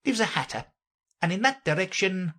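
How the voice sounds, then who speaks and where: A woman's voice: mid-range voice, expressive, moderate pace, a bit bright, voice pushed up. A woman voicing a sly, breezy, grinning mad cat from a children's storybook, teasing and emphatic in manner. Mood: gleefully mad. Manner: teasing, emphatic, playfully argumentative.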